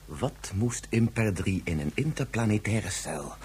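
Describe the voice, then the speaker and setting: A man's voice: on the low side, expressive, measured pace, darkish tone, slight background noise. A man playing a sensible, puzzled father and space traveller in a science-fiction radio play, questioning in a matter-of-fact way.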